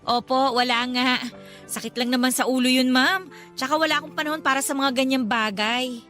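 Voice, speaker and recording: A woman's voice: sits high, fairly even intonation, a little fast, pushed and bright, soft background music. A woman playing a modest, hardworking flower seller of twenty-six in a radio drama, with a Filipino accent. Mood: shy, polite.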